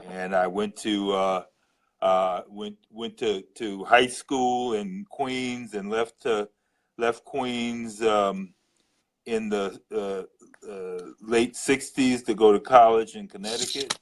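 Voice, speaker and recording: An older man from New City, a guest in a casual interview, speaking haltingly with many hesitations. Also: lowish voice, steady tone. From New York City.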